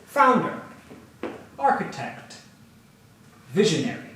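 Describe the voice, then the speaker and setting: A man playing a pompous tech founder, echoey room. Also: a little high. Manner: pompous, addresses the audience. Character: smug tech founder, self-styled visionary man. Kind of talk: staged reading monologue. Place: live performance in a piano shop. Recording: roomy echo, distant mic, faint noise.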